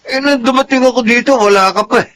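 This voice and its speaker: An actor playing a meek, submissive, devoted young husband and son in a Tagalog radio drama. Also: male voice, very high-pitched, quick pace, fairly bright tone. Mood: mild.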